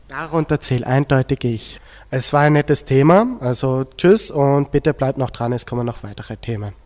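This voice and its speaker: A man's voice: mid-range voice, normal speed, a little mellow. A teenage male student with an Austrian accent, moderating a discussion on a school radio show.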